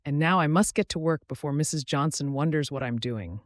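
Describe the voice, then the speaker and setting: A man voicing a warm, eager young woman with an affectionate, earnest manner in an audiobook. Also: a little high, fairly quick, dry recording, clean studio sound, lightly acted. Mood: teasing.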